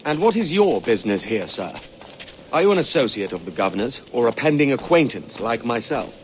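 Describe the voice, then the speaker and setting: A man's voice: mid-range voice, very animated, brisk, slight background noise, natural voice. An actor playing a wry, roguish young male pirate in disguise, with a dry, teasing, casual delivery. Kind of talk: video game cutscene acting.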